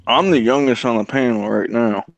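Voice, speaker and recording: A man's voice: medium pitch, normal speed, gravelly, dry recording, poor audio. A nineteen-year-old man on a live stream panel over a video call, chatting casually and playfully contradicting the others. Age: teens. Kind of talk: casual chat.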